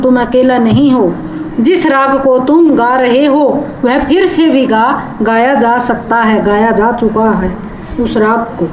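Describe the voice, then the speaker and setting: Woman teacher, unhurried, keeps rephrasing and glossing words, echoey room with background noise. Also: high voice, a little mellow. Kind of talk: explaining a poem line by line.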